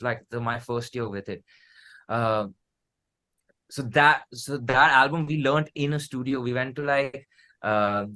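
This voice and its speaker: A man's voice: medium pitch, sharp tone. Indian man in rambling, casual conversation. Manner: rambling, repeats and restarts phrases. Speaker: musician, interview guest.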